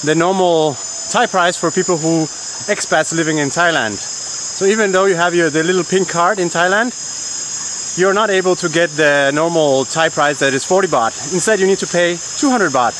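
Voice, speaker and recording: A man in his thirties from Denmark giving halting, informal vlog commentary outdoors, speaking into a camera at arm's length. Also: a little high. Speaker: travel vlogger. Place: outdoors in a forest park. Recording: outdoor noise of insects and water.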